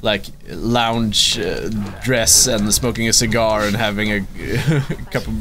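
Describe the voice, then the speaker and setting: A man's voice: on the low side, a crowd in the background. A man from Sweden giving casual, joking commentary while playing a video game. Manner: laid-back, joking, drawn-out delivery. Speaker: let's play commentator.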